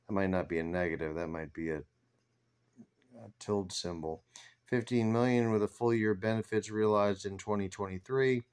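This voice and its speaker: A man's voice: on the low side, clear, resonant tone. A man giving informal, rambling stock commentary, thinking aloud as he reads through figures on his screen. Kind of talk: informal screen-share commentary on company finances.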